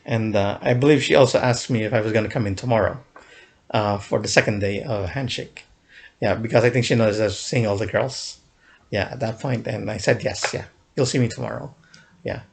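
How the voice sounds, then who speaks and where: A man's voice: lowish voice, fairly quick, creaky. A young man casually recounting a fan event in a vlog, rambling with many fillers and restarts, often chuckling. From United States.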